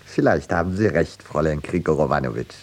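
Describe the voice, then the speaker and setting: An actor playing an urbane, coolly polite artist with dry, understated irony in a 1950s radio play. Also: male voice, very deep voice, animated, a little fast, breathy edge, full character voice. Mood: dry, courteous. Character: urbane, dryly ironic male artist, coolly polite schemer.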